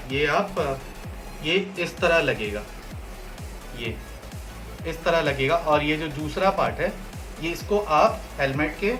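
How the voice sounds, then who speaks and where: Bright-voiced man explaining step by step. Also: on the high side. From India. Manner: explanatory, step-by-step, mixes English phrases in. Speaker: product reviewer. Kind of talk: casual product review and how-to.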